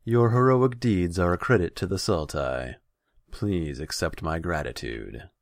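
A man's voice: deep voice, a little slow, no echo, clean studio sound, voice pushed up. A man voicing a haughty, indulgent, paranoid warlord in a fantasy story, with an imperious, petulant, purring manner. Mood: smug, purring.

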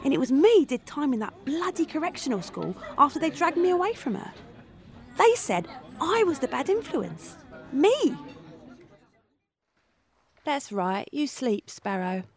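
A woman's voice: sits high, big pitch swings, measured pace, pauses often, soft background music. A woman playing a brash, tough young woman who narrates, blunt and coarse-tongued. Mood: bitter. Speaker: narrator and character. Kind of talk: radio drama acting and narration.

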